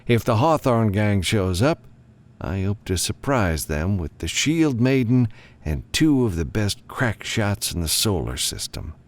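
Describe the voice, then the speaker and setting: A man's voice: lowish voice, very animated, unhurried, pitched above the performer's own voice. A man voicing a grim, commanding government lawman in an audiobook, giving a frank, businesslike briefing. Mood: frank, uneasy.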